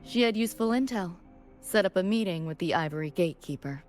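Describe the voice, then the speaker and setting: A lowish female voice from an actress plays a cool young cyberpunk operative in a game. Her lines are curt, guarded and businesslike, with music underneath. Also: animated, quick pace, darkish tone, close to an everyday voice. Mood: matter-of-fact. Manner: terse, matter-of-fact. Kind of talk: animated game character dialogue.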